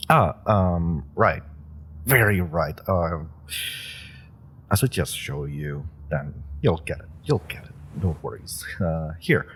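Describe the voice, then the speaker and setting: A man's voice: low voice, lively intonation, takes it slowly, slightly rough, full character voice. A man voicing an eager young superpowered rookie in an audio roleplay drama, rambling and giggling as he stumbles over his words. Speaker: voice actor.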